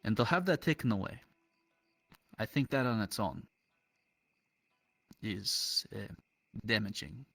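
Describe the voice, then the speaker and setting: Halting, bright-voiced man in roleplay. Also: on the low side, expressive, creaky, breathy edge, dry recording, faint music behind. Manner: halting, reflective, with dropped articles. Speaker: roleplayer in an online game. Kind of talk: improvised in-character roleplay dialogue.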